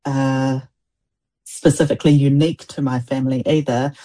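A woman in her forties, born in Samoa, whose first language is Samoan. She has a low, mellow voice and answers in a reflective, halting way, often restarting her sentences. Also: level tone. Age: 40s. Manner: thoughtful, halting, many restarts and fillers. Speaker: poet, guest.

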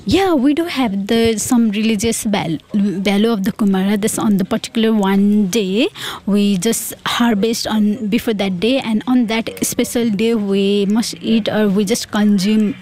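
A woman's voice: medium pitch, a little slow, a little mellow. A young woman from Nepal, a master's student answering interview questions outdoors, hesitant and laughing between phrases. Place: outdoors in a field.

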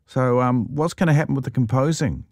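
A man conducting a relaxed interview, asking curious, chatty questions. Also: medium pitch, a little fast, no echo, studio quality. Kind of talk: conversational interview.

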